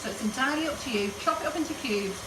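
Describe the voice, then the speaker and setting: A woman's voice: high-pitched, rapid-fire, recorded from a distance. Chatty woman in an echoey kitchen. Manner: chatty, rambling, jokey, laughs often. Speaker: baking demonstrator, host. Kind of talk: chatty live baking demonstration. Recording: echoey kitchen, voice from off the mic, background noise.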